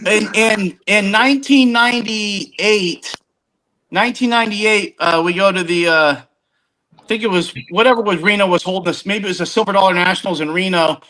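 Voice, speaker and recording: A man's voice: sits high, normal speed, bright, forceful tone, poor audio. A man in his fifties chatting casually, rambling and often restarting his sentences as he tells stories. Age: 50s. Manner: rambling, self-interrupting storyteller. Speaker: podcast guest. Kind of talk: casual chat.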